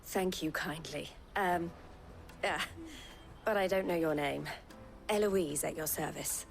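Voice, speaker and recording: Creaky, courteous fantasy merchant. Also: female voice, sits low, measured pace, soft background music. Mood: courteous, businesslike. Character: poised, courteous, shrewd woman merchant. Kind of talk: dramatic video game acting.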